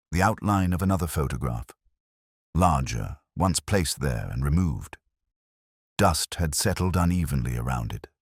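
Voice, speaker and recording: A man playing a very deep-voiced, brooding detective. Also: a little mellow, dry recording, studio quality, full character voice. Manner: measured, reflective, literary phrasing. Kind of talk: scripted first-person detective narration, audio drama.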